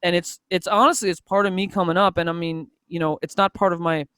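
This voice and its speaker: Smooth-voiced reflective man in his forties. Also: high-pitched, fairly even intonation, fast talker, bright, forceful tone, no room sound. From Toronto, Canada. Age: 40s. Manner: earnest, reflective, restarts often. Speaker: music producer guest. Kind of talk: casual podcast chat.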